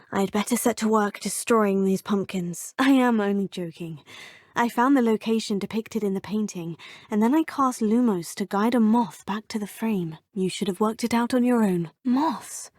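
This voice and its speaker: A woman's voice: mid-range voice, moderate pace, darkish tone, no room sound, clean studio sound, lightly acted. An actress voicing an earnest, polite teenage witch student in a game, speaking formally and often murmuring to herself. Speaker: player character. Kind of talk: game voice lines.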